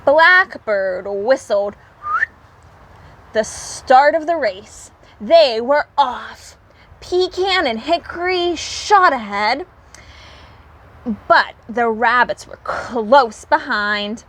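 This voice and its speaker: A woman reading a children's story aloud in animated storybook narration. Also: on the high side, very slow, slight background noise.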